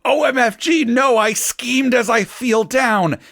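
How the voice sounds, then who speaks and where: A man's voice: a little high, lively intonation, normal speed, no echo, clean studio sound, pitched above the performer's own voice, lightly acted. A man voicing a dramatic, easily shocked teenage goth girl in a story reading, with exclamatory delivery and mangled slang. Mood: shrieking shock. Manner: exclamatory, mispronounced slangy words.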